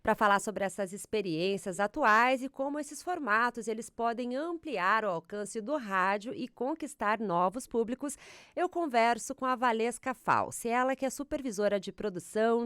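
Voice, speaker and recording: A woman's voice: on the high side, a bit bright, clean tone, dry recording, studio quality. A woman hosting an interview podcast. She opens with a friendly scripted introduction and then asks her guests questions. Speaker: podcast host.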